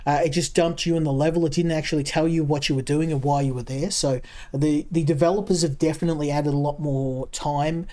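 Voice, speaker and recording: A man with a broad Australian accent narrates his game as he plays. His voice sits a little high for a man, and he rambles and circles back over words while thinking aloud. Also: fairly even intonation, fairly quick, dark tone. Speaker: game streamer. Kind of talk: casual gameplay commentary.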